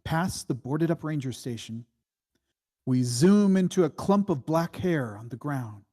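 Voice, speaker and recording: A man narrating a screenplay's stage directions at a table read, with deliberate, dramatic phrasing. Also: on the high side, lively intonation, mellow.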